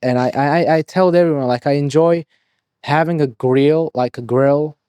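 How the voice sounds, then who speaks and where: A nineteen-year-old man from Hungary, rambling quickly and swearing freely as a podcast guest. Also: on the high side, warm tone, clear, resonant tone, no room sound. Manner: rapid, rambling, swears freely, restarts sentences. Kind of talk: casual interview talk.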